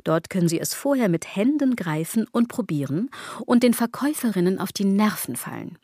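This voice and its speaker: An actress playing a sardonic, brooding woman in a radio drama monologue, with a husky, theatrical delivery that slips into breathy tones. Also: medium pitch, big pitch swings, a little fast, warm tone, no echo, studio quality. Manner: ironic, theatrical, shifting into breathy whispers. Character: sardonic, brooding woman philosophising bitterly about fashion.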